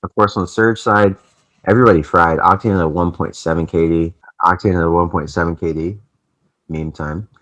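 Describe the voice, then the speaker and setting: Very deep-voiced young man hosting an esports recap. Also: steady tone, a little fast, fairly bright tone, poor audio. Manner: rambling, chatty, lots of fillers. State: voice affected by allergies. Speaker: esports channel host. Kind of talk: casual esports recap vlog.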